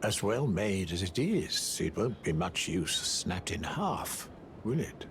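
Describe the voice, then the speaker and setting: An actor playing an eccentric, shrewd scholarly sage in a video game, musing in theatrical, drawn-out phrases. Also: male voice, deep voice, very animated, noisy background, heavily acted. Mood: admiring, musing.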